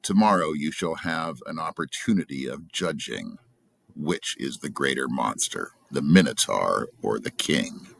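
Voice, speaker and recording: A man's voice: a bass voice, expressive, unhurried, creaky, fairly bright tone, husky, exaggerated voice. A man voicing a cruel, stern tyrant king with a taunting manner in a storybook reading. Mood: cruel, mocking.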